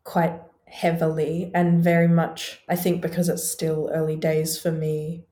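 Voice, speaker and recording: A movement and sound artist speaking in a reflective conversation over a video call, measured and searching, with frequent ums. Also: female voice, deep voice, completely flat delivery, dark tone. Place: home in Melbourne. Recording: roomy video call audio.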